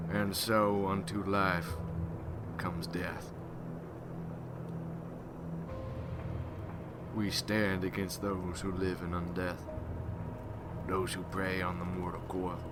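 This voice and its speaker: A man acts out a vengeful vampire hunter in an ASMR roleplay, with a very low voice and a slow, measured delivery. He is grim and solemn, brooding over every phrase. Loud ambient noise runs behind him. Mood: solemn oath. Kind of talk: ASMR roleplay, dramatic monologue.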